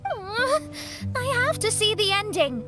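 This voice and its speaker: A very high-voiced young female astrologist in an anime-style game. She is proud and theatrical, with lively swings in pitch and music faintly behind her. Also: measured pace, heavily acted. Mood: dramatic anguish. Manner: haughty, theatrical, emphatic.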